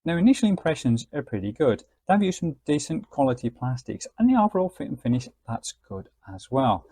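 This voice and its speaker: Male reviewer, mid-pitched with a slightly rough grain, chatty and explanatory, very dry sound. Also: very animated, a little fast, mellow, dry recording. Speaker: radio gadget reviewer, host. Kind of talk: casual product review narration.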